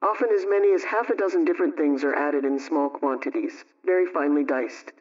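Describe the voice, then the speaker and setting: A man presenting a scripted homemaking radio program, reading out advice in a measured, instructional way. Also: a little high. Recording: muffled, distant radio sound.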